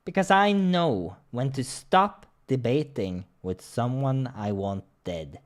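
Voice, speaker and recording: Guy doing a cold scornful lion sorcerer from a visual novel, slow dramatic menace, despairing. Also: mid-range voice, animated. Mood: cold hatred.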